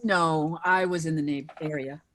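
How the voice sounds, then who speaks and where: A woman playing a brisk widowed mother working as an investigator in a screenplay table read. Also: sits low, fairly even intonation, a bit bright, slight background noise. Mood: timid, polite.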